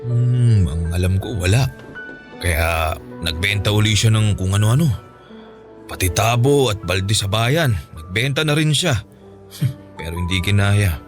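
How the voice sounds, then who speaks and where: An easygoing middle-aged Filipino dad in a radio drama, henpecked and forgiving. His voice is low, folksy and teasing, with music clearly playing behind him. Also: animated, a little slow. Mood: nostalgic.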